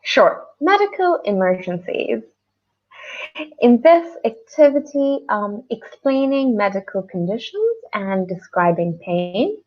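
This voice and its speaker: Female webinar teacher from Pakistan, medium pitch, informal and a little hesitant, lo-fi call sound. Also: big pitch swings, slow pace. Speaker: English teacher co-hosting a webinar. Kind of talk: online lesson, informal explaining. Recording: dull, lo-fi online call audio.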